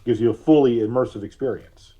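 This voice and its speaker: Husky man explaining things conversationally. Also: medium pitch, brisk, highs cut off. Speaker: guest, filmmaker. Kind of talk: conversational interview storytelling.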